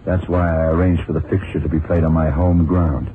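A self-assured radio detective played by a man with an extremely low voice. He is unruffled and dryly explanatory, as in a crime drama. The old recording is narrow and muffled, with some noise. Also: flat delivery, fairly quick, natural voice. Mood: cool, dry. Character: cool, self-assured detective who outwits gunmen.

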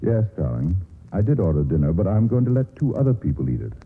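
A man's voice: low voice, big pitch swings, fast talker. An actor playing a worldly, cynical male writer with a dry, mocking, patronizing manner, in 1940s radio acting style. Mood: wry. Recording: muffled old radio broadcast, faint hiss.

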